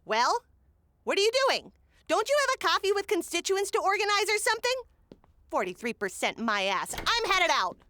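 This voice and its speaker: A woman's voice: very high voice, sing-song, moderate pace, pushed and bright, no room sound, heavily acted. A woman playing a scheming, impatient city mayor in a comedic audio drama, brash and bossy. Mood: bossy, annoyed.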